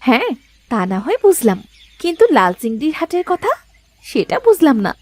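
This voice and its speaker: Sharp-tongued cartoon village wife, high and swooping, as a woman voices her. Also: very animated, moderate pace, no room sound. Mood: suspicious. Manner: suspicious, scolding questions. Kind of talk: Bengali cartoon voice acting.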